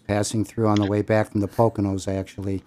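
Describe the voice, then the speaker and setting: A man's voice: lowish voice, flat delivery, quick pace, dark tone. An older man co-hosting a casual podcast, easygoing as he reminisces. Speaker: podcast co-host.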